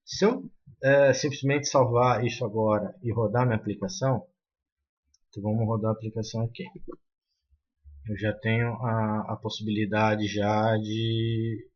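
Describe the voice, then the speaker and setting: A man's voice: lowish voice, little pitch movement, normal speed, highs cut off. A man with a Brazilian accent explaining a coding tutorial step by step, with frequent fillers and restarts. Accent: Brazilian Portuguese. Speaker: programming tutor.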